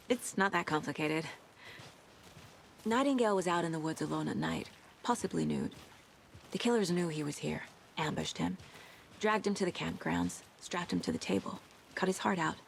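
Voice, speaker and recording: A woman acting an intuitive, composed FBI agent in a video game, speaking in an understated, deliberate way with clipped phrases. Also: lowish voice, vocal fry, a little hiss or hum. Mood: matter-of-fact. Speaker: lead detective character.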